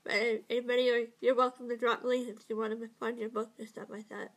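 A woman's voice: a little high, little pitch movement, quick pace. A woman hosting a podcast, chatting casually and hesitantly with fillers and giggles. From California, USA. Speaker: podcast host. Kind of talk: casual chat.

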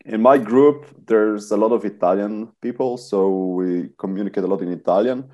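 A young man from Slovenia talks on a podcast. His voice sits a little low and sounds warm and relaxed. He explains things in a measured, casual way with the odd hesitation. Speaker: podcast guest. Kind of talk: casual conversation.

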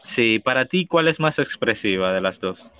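A man's voice: medium pitch, expressive, moderate pace, smooth, no echo. A man with a Dominican accent hosting a casual podcast chat, playful and teasing. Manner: playful, teasing, laughs loudly. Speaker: podcast host.

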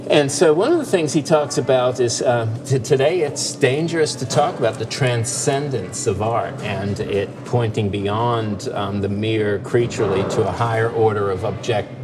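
A man's voice: mid-range voice, moderate pace, few pauses, noisy background. A man co-hosting a casual talk show, speaking thoughtfully with many fillers and restarts. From part Finnish heritage. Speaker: podcast co-host. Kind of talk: casual discussion.

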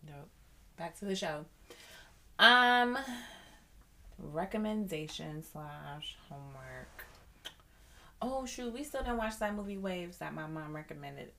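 A woman hosting a podcast in casual chat, relaxed and thoughtful as she gives her opinions. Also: lowish voice, slow, vocal fry, pauses often. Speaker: podcast host.

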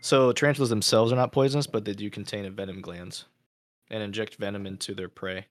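A man's voice: fairly low pitch, steady tone, fast. A young man chatting casually as a co-host, playful and teasing. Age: young adult. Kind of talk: casual chat.

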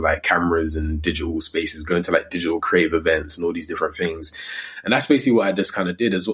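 A young English man in his twenties with a low-pitched voice talks as a podcast guest. His speech is informal and slangy, in the easy style of a casual chat about his own work. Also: a bit bright. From Coventry, England. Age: 20s. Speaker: podcast guest, digital creator.

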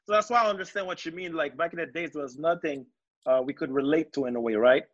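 A man's voice: a little high, quick pace. A man in his thirties who did not grow up speaking English, reflecting in a casual video-call discussion with many fillers. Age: 30s. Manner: reflective, loose with fillers and repeats. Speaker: panelist. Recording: dull, dry video-call audio.

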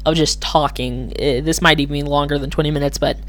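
Slightly hoarse rambling podcast host. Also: mid-range voice, fairly even intonation, a little fast. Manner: rambling, hesitant, self-correcting. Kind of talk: casual solo commentary.

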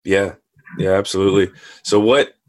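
A man's voice: low voice, steady tone, normal speed, no echo. A man hosting a podcast, asking friendly, curious interview questions. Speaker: podcast host.

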